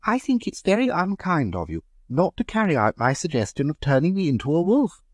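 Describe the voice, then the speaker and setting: A man's voice: on the high side, big pitch swings, fairly quick, no room sound, clean studio sound, pitched above the performer's own voice. A man reading a story aloud, voicing a provocative wife who teases and dares a man. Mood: teasing. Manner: provocative, daring. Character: teasing, provocative young wife.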